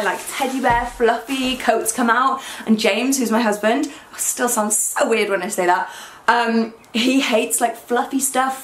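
A young woman with a croaky, hungover voice, chatting excitedly in a casual try-on haul vlog. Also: on the high side. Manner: excitable and gushing. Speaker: fashion vlogger. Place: small bedroom, indoors. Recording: echoey room.

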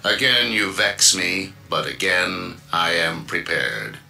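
An actor playing a malevolent alien android conqueror in a video game, cold, imperious, formal and menacing. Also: male voice, sits low, slow pace, creaky, sharp tone, recorded from a distance, faint music behind, heavily acted. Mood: cold menace. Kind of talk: video game villain acting.

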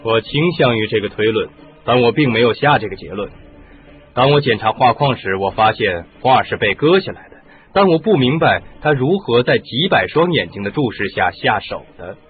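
A man's voice: medium pitch, animated, moderate pace, slight background noise, natural voice. An actor playing a firm, skeptical male police inspector in a radio drama. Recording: muffled phone-like radio recording.

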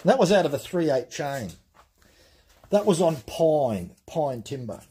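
A man explaining things step by step in a relaxed, plain-spoken way during a workshop demonstration. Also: a little high, a little slow, breathy edge. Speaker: chainsaw channel host. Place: workshop bench.

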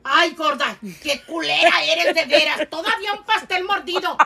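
A crude, ungrateful Mexican mother, played by a woman spits out insults in a very high, gravelly voice. Her tone is bright and sharp. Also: normal speed, exaggerated voice. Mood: scolding. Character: ungrateful, foul-mouthed mother.

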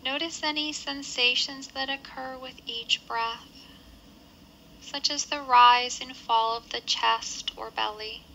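A woman guides a body scan meditation in a slightly high, very bright voice. Her phrasing is measured and gentle, giving simple instructions with pauses between them. There is faint noise in the background of the recording. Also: fairly even intonation, creaky, poor audio.

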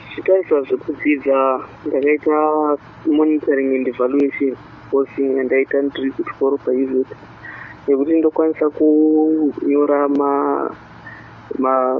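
A young man with a Zimbabwean accent speaks over a thin phone line with faint noise. His delivery is flat in pitch and hesitant, with repeated words as he answers as a radio guest. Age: young adult. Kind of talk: phone-in interview, explaining himself.

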